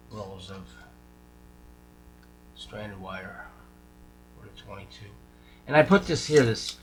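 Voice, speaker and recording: A man talking casually through a hands-on radio repair, informal and folksy, thinking aloud as he goes. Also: lowish voice. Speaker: radio repair hobbyist showing a restoration. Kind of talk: casual hands-on workshop chat. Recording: clear background noise, electrical hum.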